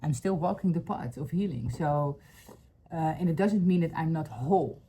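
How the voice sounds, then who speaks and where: A woman with a Dutch accent, speaking earnestly to camera at home in English, often pausing to search for words. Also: low-pitched, slight background noise, rough recording. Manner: searching for words, many fillers, soft and earnest. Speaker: vlogger. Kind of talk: personal heartfelt talk to camera. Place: home room with a couch.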